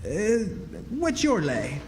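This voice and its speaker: A man's voice: sits high, wildly swooping pitch, slow, a little mellow, slight background noise, full character voice. A man playing a florid, theatrical con-man drifter in an old-time radio drama, folksy and grandiose. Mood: folksy boasting. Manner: folksy, grandiose, hammy delivery. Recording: live stage in echoey hall.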